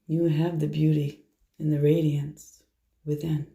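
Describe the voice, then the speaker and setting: A hoarse woman chatting through a tarot card reading, soft and meandering as she shuffles and lays out cards. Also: low-pitched, little pitch movement, unhurried. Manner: soft, meandering, half to herself while handling cards. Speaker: tarot reader. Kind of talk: casual tarot reading monologue.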